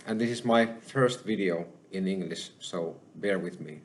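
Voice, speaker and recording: A man with a Finnish accent, hosting his own channel and talking alone to the camera, slow and halting, with many pauses. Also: low-pitched. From Finland. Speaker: channel host. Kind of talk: solo spoken monologue.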